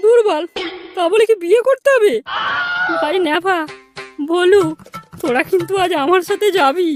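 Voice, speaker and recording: A woman's voice: very high voice, animated, moderate pace. A woman voicing a whiny, lecherous male slacker in a comic cartoon. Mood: dismayed. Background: music.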